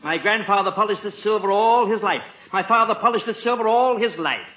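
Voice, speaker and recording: An actor playing a prim, unflappable English butler in a 1950s radio sitcom, with an English accent and a formal, dryly polite manner. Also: male voice, high voice, animated, a little fast. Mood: haughty, insistent. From England. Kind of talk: 1950s radio sitcom acting before an audience. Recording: dull, echoey old radio broadcast, audience.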